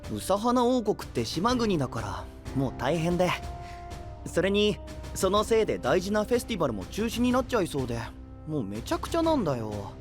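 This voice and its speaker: A breezy, friendly young knight in an anime audio drama. He talks loosely and casually with very lively pitch, over clear background music. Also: brisk. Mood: troubled but breezy. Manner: casual, loose, easygoing speech.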